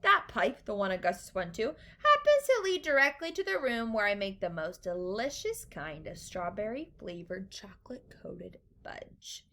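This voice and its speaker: Eccentric, excitable gentleman for a children's tale, acted by a woman: animated, bright, reassuring, grandly emphatic. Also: mid-range voice, moderate pace, few pauses. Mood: amused, reassuring. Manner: emphatic, theatrical repetition.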